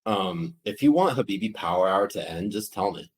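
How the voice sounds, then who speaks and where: A man's voice: low-pitched, animated, normal speed. A man co-hosting a casual news podcast, rambling, profane and joking. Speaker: podcast co-host.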